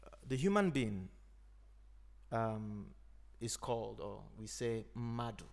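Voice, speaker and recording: Gravelly-voiced man, thoughtful. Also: fairly low pitch, lively intonation. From Nigeria. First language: Igbo. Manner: thoughtful, unhurried, frequent "you know". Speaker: podcast host. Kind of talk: reflective conversation.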